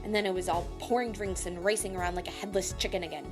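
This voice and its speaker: A woman playing a brash, hot-tempered chef in an audio drama, gruff and sarcastic, cursing mildly in food words. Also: fairly low pitch, steady tone, fast, natural voice. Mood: exasperated. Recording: music behind the voice.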